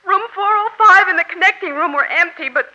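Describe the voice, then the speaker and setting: A woman's voice: very high-pitched, a little fast, pushed and bright, exaggerated voice. An actress playing an anxious, loyal young woman, earnest and pleading, in 1940s radio drama style. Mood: worried. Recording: muffled old radio recording.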